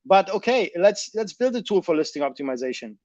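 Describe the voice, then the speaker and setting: A man with a high voice, recorded dry and close with a dull, lo-fi tone. He talks through business points emphatically, circling back and rephrasing as he goes. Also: sing-song, fairly quick, a little mellow, no echo. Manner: emphatic, repeats and rephrases himself. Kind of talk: explanatory business discussion.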